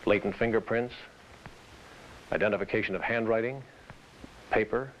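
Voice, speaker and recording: A man presenting scripted instruction in a formal, deliberate manner. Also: fairly low pitch. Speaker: training film presenter. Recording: dull hissy vintage training film soundtrack.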